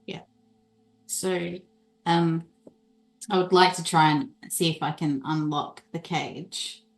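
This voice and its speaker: A woman's voice: low-pitched, steady tone, slow pace, low-quality recording. A woman chatting as a player in a tabletop game, thinking aloud hesitantly. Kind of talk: casual game chat.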